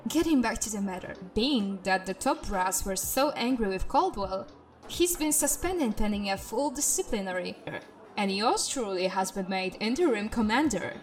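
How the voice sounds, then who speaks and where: A woman's voice: medium pitch, expressive, moderate pace, a little hiss or hum, natural voice. A woman playing a wry, teasing spaceship systems tech turned interim commander in a sci-fi comedy audio drama. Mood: smug, gossipy.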